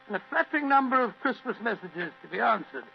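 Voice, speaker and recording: Older British gentleman storyteller, high creaky voice, genial, muffled humming radio drama recording. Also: very animated, fast talker, exaggerated voice. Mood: genial, reminiscing. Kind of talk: old radio drama narration. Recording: muffled old radio recording with hum and hiss.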